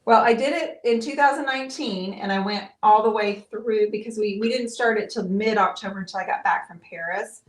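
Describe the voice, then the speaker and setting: A middle-aged woman hosting a live painting stream, chatting casually and warmly with viewers, with frequent "um"s. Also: mid-range voice, brisk, few pauses, low-quality recording. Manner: chatty, friendly, talks to viewers with many fillers.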